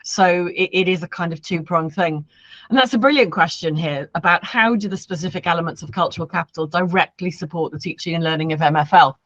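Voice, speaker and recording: A woman's voice: on the low side, brisk, a bit bright, dry recording. A woman co-hosting an online teaching event, explaining points in a chatty, informal way. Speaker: online education event speaker and co-host. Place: online event, dry sound. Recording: dull, dry online call audio.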